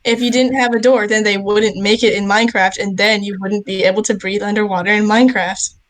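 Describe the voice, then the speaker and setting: A woman's voice: medium pitch, little pitch movement, a little fast, rough recording. A young woman co-hosting a student podcast, chatting casually and rambling with lots of fillers and giggles. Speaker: podcast co-host. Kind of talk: casual chat.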